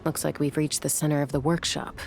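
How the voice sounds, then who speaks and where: A woman's voice: sits low, little pitch movement, fairly quick, gravelly, music in the background. A woman voicing a level-headed young adventurer in a video game, speaking with composed purpose. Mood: focused, serious.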